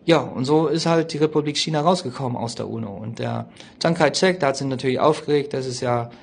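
A man giving an informal spoken history explanation in a colloquial, opinionated way, often halting and hesitating as he searches for words. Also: medium pitch, creaky, husky.

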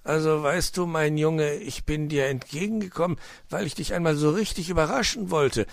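A man's voice: a little high, moderate pace, vocal fry, dry recording, clean studio sound, voice pushed up. A man voicing a sly, work-shy uncle fox who talks glibly and patronisingly in a children's story. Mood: flustered, coaxing. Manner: glib, exclaiming, patronising.

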